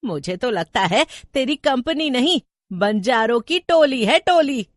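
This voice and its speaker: A woman voicing a sarcastic, scolding old mother-in-law in a horror story, grumbling in sharp rhetorical questions. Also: sits high, lively intonation, measured pace, no room sound, clean studio sound, pitched above the performer's own voice. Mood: angry, scolding. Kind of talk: Hindi horror storybook narration with voices.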